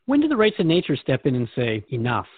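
A man's voice: medium pitch, huge pitch swings, fast, husky, no room sound, narrow, tinny audio. A man playing a curious, earnest seeker questioning a spiritual guide in a conversational scripted dialogue. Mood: eager, inquisitive. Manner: inquisitive, conversational.

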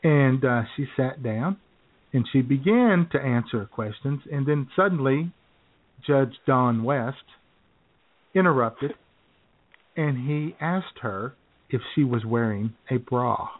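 American male co-host, phone-quality audio, loose comic storytelling with hesitations and throat-clearing. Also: medium pitch, lively intonation, slow pace. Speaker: radio show co-host. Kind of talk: casual comic chat, telling anecdotes. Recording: phone-like, narrow-band sound.

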